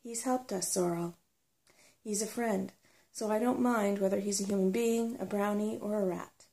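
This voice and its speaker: Woman as storybook male dragon, kindly, steadfast, measured, soft mellow tone, creaky. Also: mid-range voice, steady tone, close to an everyday voice. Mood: gentle insistence. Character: kindly, firm male dragon, calm and playful. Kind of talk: soft storybook character reading.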